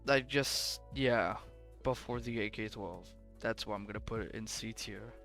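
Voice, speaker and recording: A man's voice: lowish voice, a little slow, a bit bright, soft background music. A young man casually commenting on game weapons, rambling and correcting himself, his voice a bit worn and sleepy. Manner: rambling, self-correcting, offhand. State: voice worn from long recording, sleepy. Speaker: gaming commentator ranking weapons.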